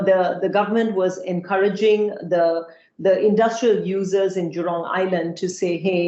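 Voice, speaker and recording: A woman's voice: lowish voice, a little mellow. A woman from Singapore speaking as a panel guest, thoughtful, with many fillers and restarts. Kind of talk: panel discussion. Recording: echoey, slightly dull sound.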